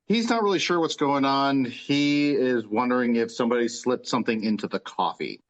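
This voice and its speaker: Man, average pitch, casual tabletop player talk, dull lo-fi recording. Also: moderate pace. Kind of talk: casual introduction. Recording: dull lo-fi microphone sound.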